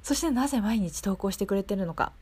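A woman's voice: mid-range voice, lively intonation, fast, darkish tone, husky. A woman hosting a chatty local radio interview, speaking politely and keenly and showing appreciation for her guest.

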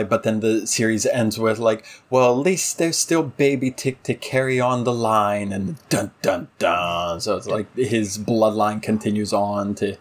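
A man co-hosting a casual TV review discussion, halting and thinking aloud as he talks. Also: lowish voice. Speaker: podcast co-host.

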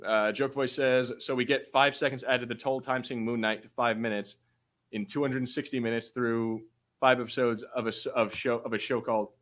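A man co-hosting a podcast in an informal, halting way, chatting and reading out comments with the odd swear word. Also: mid-range voice, steady tone, a bit bright. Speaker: podcast co-host. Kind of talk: casual chat, reads out viewer comments.

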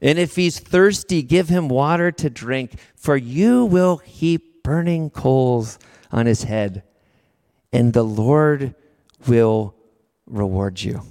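A male pastor giving a sermon, earnest and building repeated images with slow, emphatic phrases. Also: medium pitch, a little mellow. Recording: long hall reverb.

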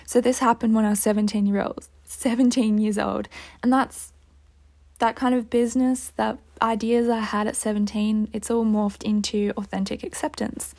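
A woman's voice: on the high side, darkish tone. A young woman hosting a solo podcast, earnest and upbeat, her throat a little dry. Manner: earnest, upbeat, with light laughs. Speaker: podcast host.

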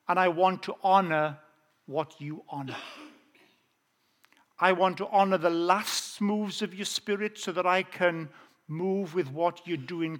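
A Welsh man preaching a personal testimony, with a voice pitched noticeably high for a man. He tells his story earnestly and slows down on the phrases that matter most. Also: a bit bright. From South Wales. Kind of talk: testimony sermon.

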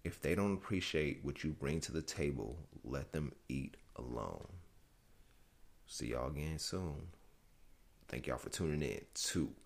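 A man's voice: extremely low pitch, lively intonation, unhurried, gravelly. A young man hosting a solo podcast, talking casually and straight to his listeners. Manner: casual, speaks directly to listeners. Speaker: podcast host.

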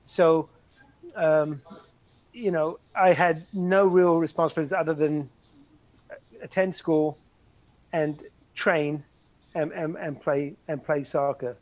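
An older man, a former soccer player recalling his college years in a halting, reflective interview. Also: a little high, fairly even intonation, no room sound, low-quality recording. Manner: halting, many restarts and "you know".

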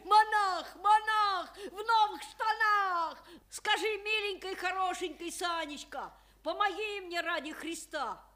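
A woman's voice: very high-pitched, takes it slowly, bright, forceful tone, exaggerated voice. A woman playing a cocky, teasing village boy with mocking rustic speech in a radio play. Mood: gleeful mockery. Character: cocky teasing village boy Sanka, slightly older tough.

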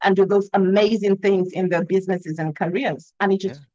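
A woman with a Nigerian accent makes her points with earnest emphasis and checks in with a quick "yeah?" as she goes. Her voice sits at a middling pitch. It comes through a video call and sounds dry and a little muffled. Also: fairly even intonation, warm tone, no room sound. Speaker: communication expert guest. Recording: dull, slightly lo-fi dry sound.

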